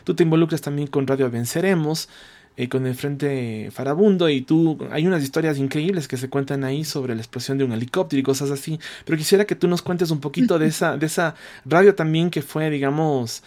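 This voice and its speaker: Male interviewer, slightly high-pitched, casual and conversational, with meandering, hesitant phrasing. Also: brisk, a little mellow.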